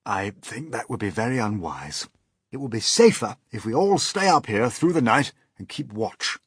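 A man voicing a kindly, cautious old grasshopper in a storybook, speaking in a measured, formal way. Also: medium pitch, sing-song, slightly rough, no echo, clean studio sound.

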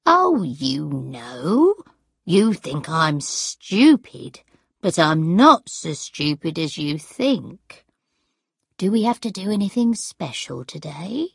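A woman's voice: big pitch swings, slow pace, creaky, slightly rough, dry recording, studio quality, exaggerated voice. A woman voicing a confused, stubborn and suspicious old grandmother in an audiobook story, wandering and questioning in manner. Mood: stubborn, guarded. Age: older. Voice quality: strange high singing voice when breaking into song.

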